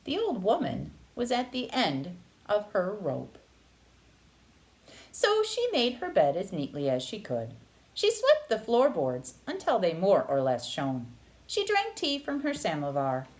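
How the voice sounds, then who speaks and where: Very animated woman reading a storybook. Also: mid-range voice, unhurried, low-quality recording. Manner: expressive, dramatic phrasing. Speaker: read-aloud storyteller. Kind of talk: children's picture-book narration.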